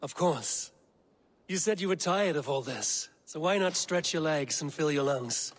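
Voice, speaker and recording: An actor playing a steady, friendly Viking warrior leader in a video game, measured and dryly teasing. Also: male voice, on the high side. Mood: easy, inviting. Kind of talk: video game dramatic acting.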